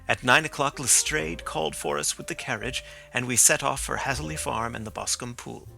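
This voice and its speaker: A man narrating a classic story for an audiobook, reading in a steady, descriptive way. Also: mid-range voice, a little fast, creaky, soft background music.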